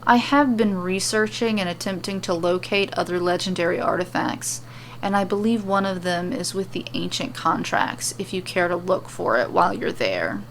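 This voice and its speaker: Noisy audio, stern formal monk guy done by a lady narrator, solemn storybook guide, measured. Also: on the low side, natural voice. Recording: clearly noisy recording.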